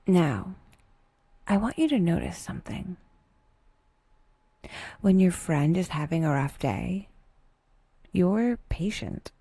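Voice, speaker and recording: A woman narrating a scripted guided meditation with wry, dry humor and a soft, breathy delivery, leaving unhurried pauses. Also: fairly low pitch, sing-song, no echo.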